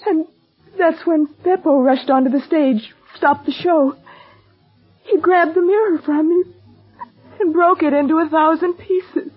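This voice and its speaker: Distraught high-voiced woman, old radio drama. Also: steady tone, a little slow. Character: distraught, urgent woman in a melodrama. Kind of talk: 1940s radio acting. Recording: muffled vintage radio.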